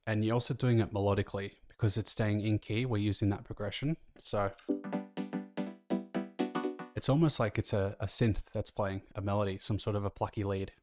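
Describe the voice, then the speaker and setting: Low-voiced man giving a casual tutorial. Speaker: music production tutor. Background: faint music from the track.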